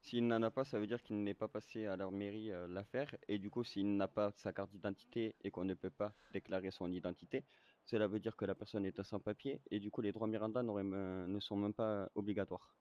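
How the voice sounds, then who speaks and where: Low-voiced man giving role-play police instructions. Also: fairly even intonation, fairly quick, warm tone, no echo, muffled recording. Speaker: role-play gamer playing a senior police officer.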